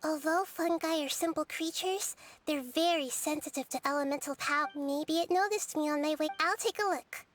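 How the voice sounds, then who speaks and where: A woman voicing a gentle, wise, childlike nature goddess in a video game, calm and thoughtful as she explains things. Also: high voice, normal speed, hardly any pauses, a little hiss or hum, lightly acted. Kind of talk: video game character acting.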